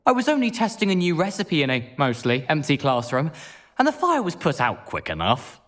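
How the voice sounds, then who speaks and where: A man playing an eager, reckless teenage schoolboy tinkerer in a video game, earnest and excitable. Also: fairly high pitch, normal speed. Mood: rueful. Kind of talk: video game character acting.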